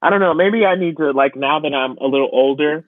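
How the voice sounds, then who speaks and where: A man's voice: a little high, brisk, no room sound. A man co-hosting a podcast in casual, conversational chat. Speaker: podcast co-host. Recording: dry, narrow phone-like line.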